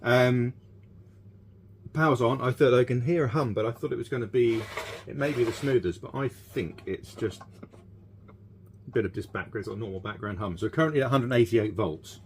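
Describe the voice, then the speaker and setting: A man's voice: medium pitch, moderate pace. A British man in his fifties chatting casually and thinking aloud as he gives a hands-on workshop demonstration. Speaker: vintage radio repairer presenting a video. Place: small cluttered electronics workshop, indoors. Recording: clearly noisy workshop with background ambience.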